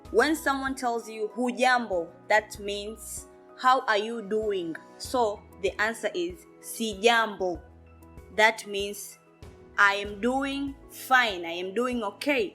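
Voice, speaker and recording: A woman, a Swahili speaker, teaching a beginner lesson and repeating each word slowly and with emphasis. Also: medium pitch, sharp tone. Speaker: language teacher.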